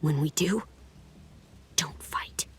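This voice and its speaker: A woman's voice: sits low, takes it slowly, in a whisper. A woman voicing a bitter, grim teenage girl turned raider soldier in a dramatic video game. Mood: grim warning. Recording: loud music under dialogue. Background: dramatic game music.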